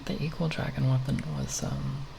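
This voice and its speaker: An ASMR creator speaking softly and breathily, half whispering in a halting, unscripted ramble with long pauses, close to the microphone. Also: medium pitch, sing-song, background noise. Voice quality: soft, breathy, partly whispered.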